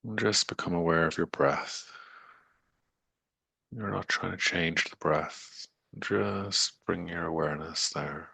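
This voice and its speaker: A man leading a guided meditation in a soothing, unhurried manner with long pauses and gentle coaxing, his voice breathy and gravelly. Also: deep voice, no room sound.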